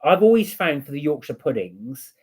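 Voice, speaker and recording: A man's voice: a little high, very animated, moderate pace, breathy edge. A man co-hosting a live stream from home, chatting casually and reading out viewer comments, rambling with fillers and restarts. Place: home room with a heater.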